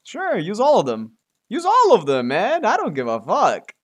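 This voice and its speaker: A man's voice: sits high, wildly swooping pitch, moderate pace. Casual male game streamer, muttering along. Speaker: live streamer. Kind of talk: casual gaming livestream chatter.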